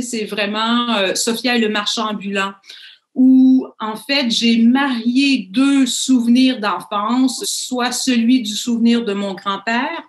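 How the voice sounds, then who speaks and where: A woman with a Québécois French accent, a children's author answering interview questions reflectively, often restarting her sentences. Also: a little high. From Canada. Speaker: children's author and pilot guest. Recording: echoey room.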